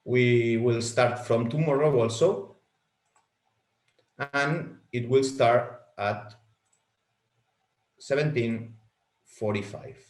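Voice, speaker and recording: A man whose first language is Spanish, leading a software walkthrough webinar as a product trainer, halting and repeating words as he clicks through settings. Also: mid-range voice, reverberant.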